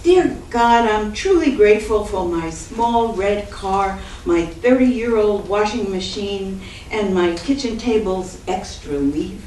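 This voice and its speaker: An older woman with a big voice, a poet and writing teacher reading her poems at a book launch, mixing chatty asides with measured reading. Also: mid-range voice, expressive, darkish tone, slight background noise. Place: event room with audience. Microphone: distant mic. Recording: roomy echo, voice from far off.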